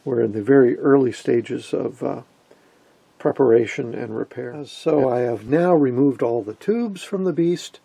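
A man explains technical repair work in a casual, halting way. His voice is mellow and husky with a gravelly creak. He sounds like a hands-on host talking through the job in plain, colloquial terms. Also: medium pitch, no room sound. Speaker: host of a vintage radio repair video.

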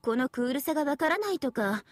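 A smug young anime game villainess, high and very lively in pitch. She teases in a cutesy, theatrical schoolgirl way, recorded close and dry. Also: fast, no room sound. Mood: smug, teasing. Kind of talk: Japanese mobile game character voice lines.

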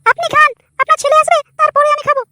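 A woman voicing a dutiful, pleading young daughter-in-law in a comic cartoon, squeaky and placating. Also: level tone, very fast, pushed and bright, no echo, full character voice.

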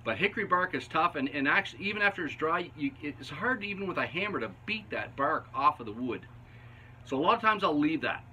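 A man's voice: a little high, lively intonation, brisk, sharp tone, slight background noise. A man in his fifties from Canada, chatting in a folksy, easygoing way with chuckling asides as he teaches woodworking in his basement shop, picked up by a camera on the bench. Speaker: woodworking channel host. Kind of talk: casual instructional talk while working. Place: basement woodshop. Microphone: camera on a bench a few feet away.

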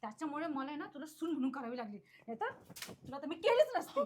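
High-voiced spiteful mother-in-law played by a woman. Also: sing-song, quick pace, darkish tone, a little hiss or hum. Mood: furious, threatening. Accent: Maharashtrian Marathi, colloquial. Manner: scolding, repeats phrases insistently. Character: spiteful, domineering mother-in-law bullying her daughter-in-law. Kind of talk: amateur family drama acting.

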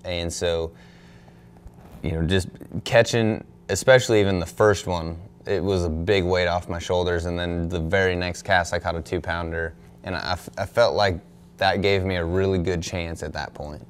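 A young man, a pro angler, answering questions at a press conference in a relaxed, thoughtful way, full of "you know" and "um". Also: sits low, normal speed, slight background noise. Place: indoor press room in front of a backdrop. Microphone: desk microphone on a stand.

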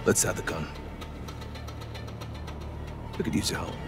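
A man's voice: racing, over music, lightly acted. A man playing a pragmatic, earnest special forces sergeant in a video game, reasoning things through. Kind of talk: cinematic video game acting.

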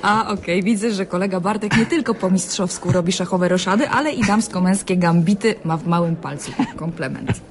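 A woman's voice: lowish voice, brisk, few pauses, a crowd in the background, close to an everyday voice. An actress playing a playful, self-assured young female chess champion in a radio drama, with a coy manner. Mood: playful teasing. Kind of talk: Polish radio drama acting. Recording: music behind the voice.

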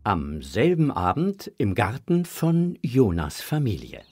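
A man's voice: mid-range voice, wildly swooping pitch, slow pace, music in the background. A man narrating a scripted audio drama for children.

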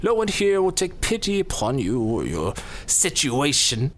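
A man's voice: a little high, huge pitch swings, unhurried, a little hiss or hum. A man playing a smug, menacing death row officer in an audio drama, with a mocking, folksy, theatrical manner. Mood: smug menace. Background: music.

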